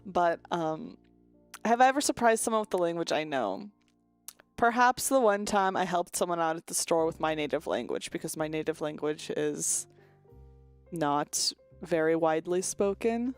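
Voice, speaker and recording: A young woman streaming, chatting casually and candidly with viewers, rambling with lots of "like" and "um" and some swearing. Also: lowish voice. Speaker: VTuber streamer. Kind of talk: casual chat answering viewer questions.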